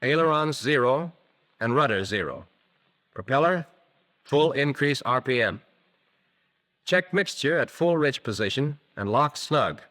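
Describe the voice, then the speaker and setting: Bright, crisp 1940s American training film narrator. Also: male voice, mid-range voice, expressive, a little slow, pauses often. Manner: crisp, methodical, instructive. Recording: vintage 1940s film soundtrack.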